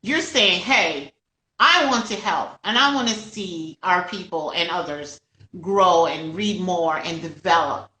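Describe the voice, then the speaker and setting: Bright-voiced woman host in an echoey room. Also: lowish voice, a little slow. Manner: affirming, echoes and agrees often. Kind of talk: casual supportive interview chat. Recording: roomy, echoey sound.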